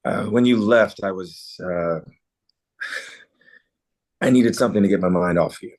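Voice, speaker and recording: Man playing a hesitant, low-voiced farm guy. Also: slow, a little mellow. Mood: awkward, shy. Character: awkward, wry small-town farm man, former sweetheart. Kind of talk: table read acting.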